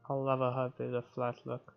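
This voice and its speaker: Gamer reading a visual novel out loud, medium pitch, trips on words a bit, music behind. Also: completely flat delivery, brisk, gravelly. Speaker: video game playthrough narrator. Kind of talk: reading visual novel narration aloud with offhand comments. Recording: muffled recording with music behind. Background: game music.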